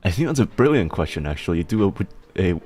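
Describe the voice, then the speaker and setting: A man's voice: sits low, sing-song, fast, a little mellow. A man streaming casually, chatting with viewers and reading their messages, his speech slightly slurred from a healing tongue injury. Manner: rambling, self-deprecating, laughs often, reads chat aloud.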